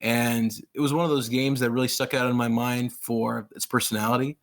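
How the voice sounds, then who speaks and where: A man raised in Pakistan, in his thirties, chatting as a guest author in an interview. He rambles with plain enthusiasm, full of "you know" and false starts. His voice sits at an ordinary middle pitch for a man. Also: level tone, a little fast. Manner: rambling, enthusiastic, many fillers and restarts. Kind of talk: casual interview chat.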